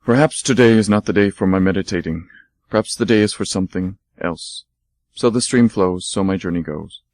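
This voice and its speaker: A man's voice: low voice, a little fast, darkish tone, no room sound, voice pushed down. A man voicing a solemn little stone Buddha. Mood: serene. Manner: solemn, pleading, declamatory. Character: serene little stone Buddha statue, meditative.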